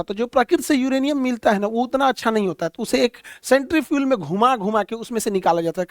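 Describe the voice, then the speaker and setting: A man lecturing on current affairs in a blunt, folksy, animated way, full of homely comparisons. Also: high voice, no room sound, studio quality. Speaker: teacher.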